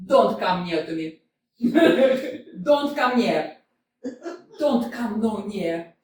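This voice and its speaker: An actress playing a proud, contrary young widow in a staged comedy reading, laughing often and flipping between scorn and pleading. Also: female voice, mid-range voice, animated, extremely slow, darkish tone, a little hiss or hum. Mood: torn. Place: community theater stage. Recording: echoey hall, mic from far off.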